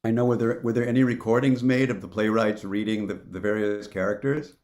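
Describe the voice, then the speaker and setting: A man with a fairly low voice talks casually. He sounds like someone joining in an informal group conversation. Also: a little fast, darkish tone. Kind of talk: casual chat.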